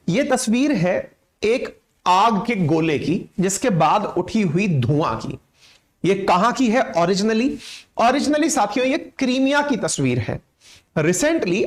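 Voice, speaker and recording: A man with an Indian accent teaching current affairs in a didactic, explanatory way, often checking that listeners follow. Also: high voice. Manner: didactic, pausing to check with "theek hai".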